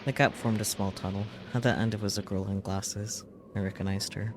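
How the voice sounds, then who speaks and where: A man's voice: fairly low pitch, level tone, a little fast, music in the background. A man reading a visual novel's script aloud as a streamer, in a dramatic storytelling style. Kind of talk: dramatic read-aloud narration of a game script.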